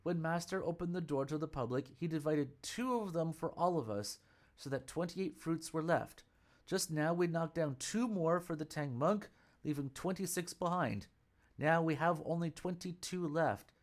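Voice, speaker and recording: A man's voice: on the high side, normal speed, close to an everyday voice. Man playing an alarmed, exacting young attendant. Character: anxious young male temple attendant who keeps accounts.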